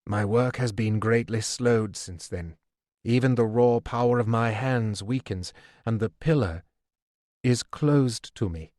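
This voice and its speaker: A man reading the part of a weary, failing aging king, speaking low and hushed with a heavy, slow delivery. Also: no echo, studio quality, close to an everyday voice.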